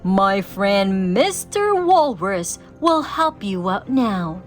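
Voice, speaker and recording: A woman voicing a majestic, kindly female sea unicorn in a storybook, with gentle singsong reassurance. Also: medium pitch, sing-song, takes it slowly, soft background music. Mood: soothing.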